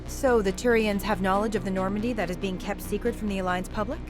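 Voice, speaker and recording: A woman's voice: medium pitch, steady tone, quick pace, music in the background, close to an everyday voice, rough recording. An actress playing a pushy, insinuating female reporter in a video game, probing and smug. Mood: probing, snide. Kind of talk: video game interview dialogue.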